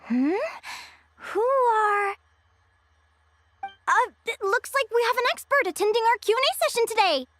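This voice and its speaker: A woman's voice: extremely high pitch, lively intonation, unhurried, long pauses, fairly bright tone, slight background noise, heavily acted. A woman voicing a cheerful young adventuring advisor in an anime game, bubbly, encouraging and teacherly. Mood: cheerful, curious.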